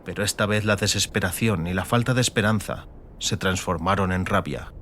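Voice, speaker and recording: Male audiobook narrator, low pitch, dramatic fantasy storytelling, faint rumbling noise behind. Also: brisk, fairly bright tone.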